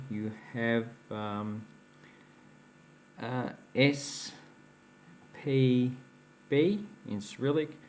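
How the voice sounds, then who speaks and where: Male hobby host, lowish voice, faint noise behind, chatty show-and-tell. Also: a little mellow. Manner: chatty, explains while handling coins, laughs at his own slips. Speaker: coin collecting channel host. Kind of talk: casual show-and-tell vlog.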